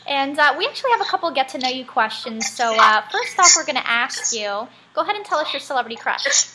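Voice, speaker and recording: Young woman, interviewer, upbeat, encouraging, high-pitched, noisy background. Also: sing-song, quick pace. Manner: upbeat, encouraging, many short acknowledgments. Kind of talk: casual interview questions.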